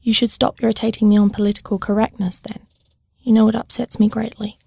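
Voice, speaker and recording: A woman's voice: mid-range voice, level tone, fast, creaky, dark tone, breathy edge, no room sound. Woman playing an earnest idealistic young woman. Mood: indignant. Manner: earnest, formal wording. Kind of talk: scripted audio drama dialogue.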